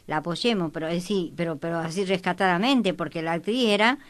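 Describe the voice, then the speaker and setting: A woman's voice: lowish voice, brisk. A woman talking in a rambling, repetitive way in a panel discussion. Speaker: panelist on a history programme.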